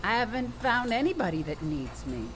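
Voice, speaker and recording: Over clear traffic noise, an actress plays a wry woman down on her luck in a radio comedy drama. She works in a laundry and talks about herself with self-mocking resignation. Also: on the high side, very animated, normal speed, a bit bright. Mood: subdued.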